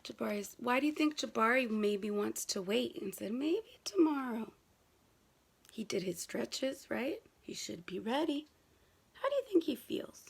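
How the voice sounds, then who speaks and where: A woman's voice: on the high side, big pitch swings, normal speed, creaky, slightly rough. A woman reading a picture book aloud to young children, asking them questions and repeating key words for emphasis.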